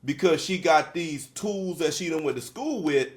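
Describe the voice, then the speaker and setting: Blunt, slangy man, fairly high voice. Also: expressive. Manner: blunt, emphatic, drawn-out stresses, slangy. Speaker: podcast host. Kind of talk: casual podcast conversation.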